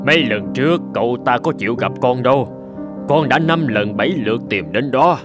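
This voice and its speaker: A man's voice: mid-range voice, lively intonation, moderate pace, natural voice. Man voicing a stern middle-aged cartoon father. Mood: concerned, firm. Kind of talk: animated fairy tale dubbing. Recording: background music under the dialogue.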